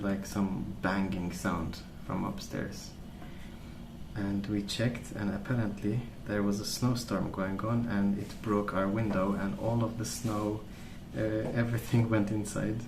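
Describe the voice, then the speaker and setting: A man speaking softly as an ASMR host, rambling through stories in a hesitant, pausing, unhurried way. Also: fairly low pitch, level tone, noisy background. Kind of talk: soft-spoken ASMR rambling.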